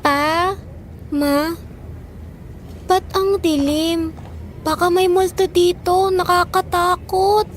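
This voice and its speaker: A woman's voice: very high-pitched, level tone, takes it slowly, long pauses, noisy background. A woman voicing a timid, frightened young girl in an audio drama, speaking in a childlike, polite way.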